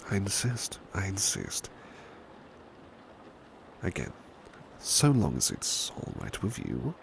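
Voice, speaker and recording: A man playing a devoted, protective butler in an intimate ASMR roleplay, speaking in a hushed, gentle, courteous and hesitant British voice. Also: sits low, slow pace, creaky, exaggerated voice. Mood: reassuring, devoted. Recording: steady background noise, rain ambience. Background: rain ambience.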